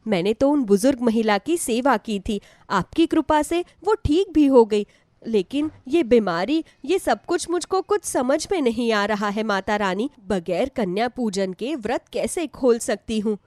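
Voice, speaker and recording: Casting: female performer as humble, worried young mother for a devotional story; high, animated, pleading. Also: a little slow, no room sound. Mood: distressed, pleading. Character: devout, humble poor mother, earnest and worried. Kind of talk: Hindi devotional story acting.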